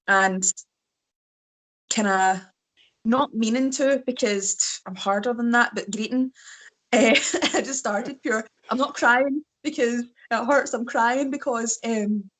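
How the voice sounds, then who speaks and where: A woman's voice: a little high, slow pace, fairly bright tone, low-quality recording. A Scottish woman in her thirties, a wrestler chatting as a podcast guest, telling rambling self-mocking stories. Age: 30s. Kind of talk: casual podcast chat.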